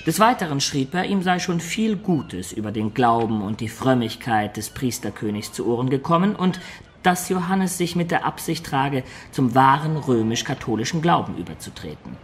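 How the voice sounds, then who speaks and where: An actor playing a wistful adventurer who recounts his life in a German radio play, in a measured, expressive storyteller's manner. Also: medium pitch, slight background noise, natural voice. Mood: narrating. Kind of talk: dramatic first-person narration in German radio play.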